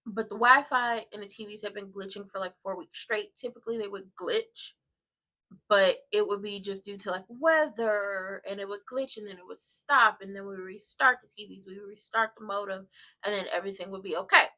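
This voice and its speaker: A congested woman chats loosely and rambles to her live-stream audience from home. Her voice is bright and forward, and her tone stays casual and conversational throughout. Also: mid-range voice, fairly quick. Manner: rambling and chatty, addresses viewers directly. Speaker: live-stream host of a soap watchalong.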